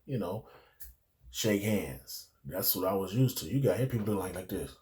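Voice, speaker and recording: A middle-aged American man speaks in a fairly low voice. He tells personal stories in a casual, unscripted way. He rambles and circles back through digressions, with plenty of street slang. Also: lively intonation, normal speed, a little mellow. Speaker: storyteller recalling his youth.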